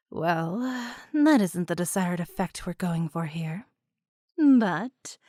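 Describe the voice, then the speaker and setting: A woman acting a mysterious fantasy storyteller, narrating in a deliberate, portentous way. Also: fairly high pitch, a little mellow, no room sound, studio quality. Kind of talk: dramatic scripted narration.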